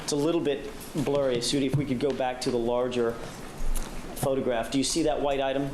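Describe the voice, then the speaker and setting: A man questioning a witness in a courtroom, measured and methodical, with frequent fillers. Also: mid-range voice, fairly even intonation. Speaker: prosecutor. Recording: echoey courtroom audio with background hum.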